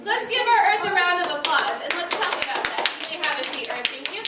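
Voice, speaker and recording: A woman's voice: very high-pitched, very animated, quick pace, over a noisy crowd. A woman teaching young children as an elementary school teacher, instructing them and prompting them to repeat after her. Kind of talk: interactive classroom read-aloud lesson to young children. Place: indoor school classroom. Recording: noisy echoey classroom, distant microphone. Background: room noise and children.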